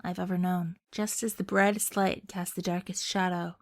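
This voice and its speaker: Girl reading lines as sad self-loathing young guy, soft solemn goodbye speech, measured, bright tone. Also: mid-range voice, close to an everyday voice. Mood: tender farewell. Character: brokenhearted, self-loathing young man saying a gentle goodbye. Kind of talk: story dialogue read aloud in a game recap.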